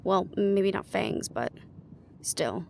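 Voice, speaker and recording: A woman's voice: mid-range voice, animated, takes it slowly, vocal fry, a little hiss or hum. A woman playing a guarded, weary young team leader in a fantasy audio roleplay, with a dry, casual delivery. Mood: wry, deflecting.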